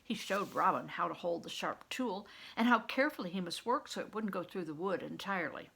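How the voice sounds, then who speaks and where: An older woman reading a story aloud like a teacher, pausing to explain and put questions to the listener. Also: fairly low pitch, expressive, gravelly, fairly bright tone, breathy edge.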